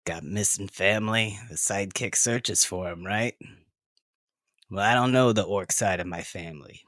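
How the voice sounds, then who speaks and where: A man's voice: fairly low pitch, normal speed, fairly bright tone, no room sound, studio quality, close to an everyday voice. A man playing a tough, blunt, slangy sixteen-year-old half-orc girl in an audio drama. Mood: guarded, musing.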